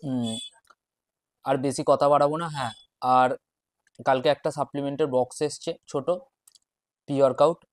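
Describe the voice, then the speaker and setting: A man in his twenties with a West Bengal Bengali accent, chatting casually to camera in short, halting phrases as a fitness vlogger. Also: medium pitch, steady tone, no room sound, clean studio sound. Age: 20s. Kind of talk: casual vlog chat to camera. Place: small bedroom at home, indoors. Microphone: wireless lapel mic.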